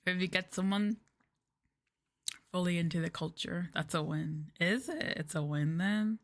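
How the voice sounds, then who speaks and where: A woman's voice: lowish voice, unhurried. Giggly, rambling woman streamer. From United States. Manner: rambling, filler-heavy with lots of "like"; giggly and playful. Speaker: VTuber streamer. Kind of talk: casual chat stream.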